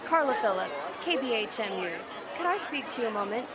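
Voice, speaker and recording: Casting: a brisk, newsy field reporter, a little high-voiced, in a loud street crowd. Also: female voice, big pitch swings, very noisy. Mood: reporting. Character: Carla Phillips, brisk field news reporter. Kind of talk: mock live news report.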